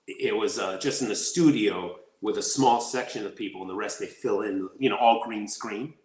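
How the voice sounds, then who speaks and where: A man's voice: lowish voice, moderate pace. Chatty man with roomy echo. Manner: rambling, energetic storytelling with many restarts. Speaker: actor guest. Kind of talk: casual interview chat.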